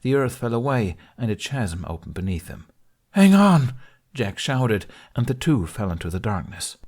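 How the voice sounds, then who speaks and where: A man narrates a fantasy fan fiction story in a steady, expressive reading. His voice sits low, and he keeps a calm storyteller's pace through the action. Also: moderate pace. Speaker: podfic reader. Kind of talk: fanfiction audiobook narration.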